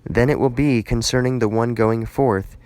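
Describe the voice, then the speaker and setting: A man's voice: medium pitch, steady tone, normal speed, darkish tone, no room sound, natural voice. A man voicing a stern, solemn Israelite leader in a scripture reading, with emphatic, declamatory phrasing. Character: stern male Israelite leader arguing his case, solemn.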